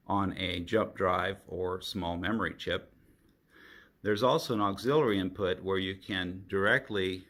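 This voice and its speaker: A man with a fairly low voice presents a product demonstration. He speaks very slowly and explains each point methodically, in the measured style of a careful reviewer. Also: a bit bright.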